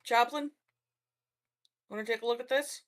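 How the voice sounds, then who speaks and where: A woman's voice: on the high side, steady tone, normal speed. A woman chatting casually as a player in a tabletop roleplaying game.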